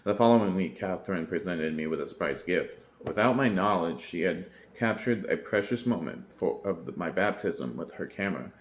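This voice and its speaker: Deep-voiced man reading aloud, echoey room. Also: normal speed. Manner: steady read-aloud, stumbling and correcting himself mid-line. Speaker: YouTuber reading a book aloud. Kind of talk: reading a book aloud, then casual commentary.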